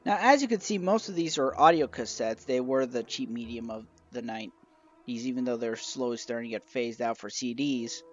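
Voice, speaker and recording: A man's voice: medium pitch, brisk, muffled recording. Young man narrating over music. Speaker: collector reviewing a radio show collection. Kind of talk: scripted show-and-tell narration.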